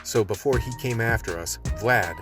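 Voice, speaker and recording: A man's voice: medium pitch, moderate pace, distant mic, close to an everyday voice. A man playing a tough, gun-toting action hero who narrates his story in a gritty, colloquial radio play style. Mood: gritty recounting. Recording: music bed under the voice.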